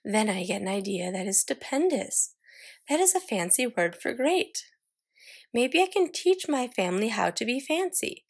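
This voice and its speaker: Excitable little girl acted by a woman, high voice with some creak, dramatic storybook delivery, proud and fancy. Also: moderate pace, heavily acted. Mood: proud, eager.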